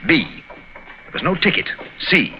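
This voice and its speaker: Vintage radio drama, dull hissy sound, confident analytical detective, courteous, animated intonation. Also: male voice, a little high, a little slow. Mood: assured. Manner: assured, precise, urbane. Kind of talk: old-time radio drama acting. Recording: muffled, hissy old radio recording.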